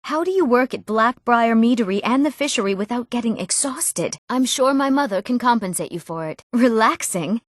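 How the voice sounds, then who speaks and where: An actress playing an eager, earnest young townswoman in a fantasy video game, speaking her scripted lines expressively and clearly. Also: fairly high pitch, expressive, moderate pace, few pauses, dry recording, studio quality. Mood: concerned, pleading.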